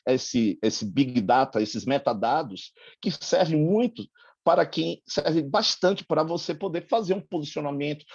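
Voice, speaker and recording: A Brazilian man from Salvador giving spontaneous, discursive panel commentary, halting as he searches for words. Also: medium pitch, a little mellow, dry recording, lo-fi sound. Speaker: film festival organizer and filmmaker on a panel.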